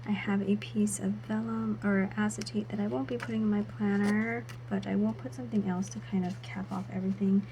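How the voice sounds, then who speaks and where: A woman talks through her planner setup in a soft, half-whispered voice, her throat still a little sore. Her pitch sits in an ordinary middle range. A steady hiss of background noise runs under the recording. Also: brisk, gravelly, hardly any pauses, rough recording. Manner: soft, half-whispered narration of each step. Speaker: planner vlogger. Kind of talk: casual voice-over while setting up a planner.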